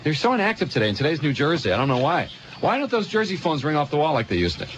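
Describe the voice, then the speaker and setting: Fast-talking male radio host, dull noisy sound. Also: fairly high pitch, very animated. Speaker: morning radio show host. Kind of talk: fast bantering phone-in contest chat. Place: radio studio.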